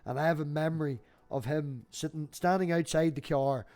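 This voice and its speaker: Chatty, higher-voiced man. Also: steady tone, normal speed, darkish tone. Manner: chatty, sweary, anecdotal. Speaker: livestream host. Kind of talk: casual livestream chat.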